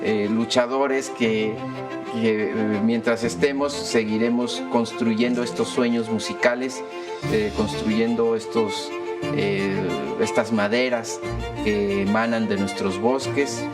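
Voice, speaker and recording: A man's voice: on the low side, fairly even intonation, slow. A man who builds instruments, speaking thoughtfully and hesitantly in a documentary interview. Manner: thoughtful, hesitant with many fillers. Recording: music bed under the voice.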